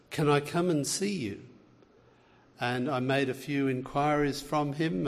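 A middle-aged man with an Australian accent, giving an earnest lecture to a church audience, with frequent fillers and restarts. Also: a little high. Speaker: guest speaker, health researcher. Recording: long reverb of a large hall.

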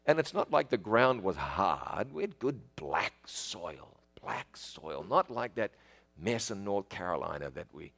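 A man's voice: on the low side, very animated, measured pace, gravelly, a bit bright. A middle-aged man with a New Zealand accent, telling childhood stories in a folksy, chatty way and speaking straight to the listener. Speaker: storyteller. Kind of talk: casual spoken reminiscence.

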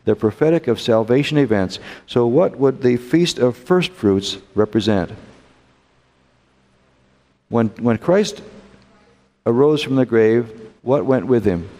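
American man, mellow low voice, preacher teaching a Bible lesson in a reverberant hall; unhurried, asks rhetorical questions. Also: lively intonation. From United States. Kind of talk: teaching a Bible study class.

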